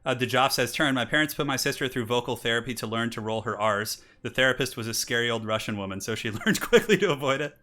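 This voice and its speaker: A man's voice: medium pitch, fast, hardly any pauses, sharp tone. A man in his thirties hosting a live stream, reading donations in a chatty way with frequent laughs, then casting a strategy game match with hyped intros. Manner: chatty, reads donations aloud, laughs often. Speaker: streamer and tournament caster. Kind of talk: casual live-stream chat and game commentary.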